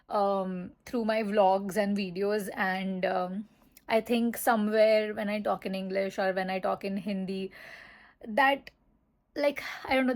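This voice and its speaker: A young woman from Mumbai with an Indian accent, talking casually as a vlogger, rambling with many fillers and restarts. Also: mid-range voice. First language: Marathi. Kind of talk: casual chat to camera.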